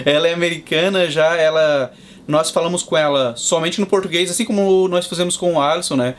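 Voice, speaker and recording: A Brazilian man in his thirties, a father, answering interview questions in a measured, explanatory way. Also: fairly high pitch. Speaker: guest, Brazilian father in Boston.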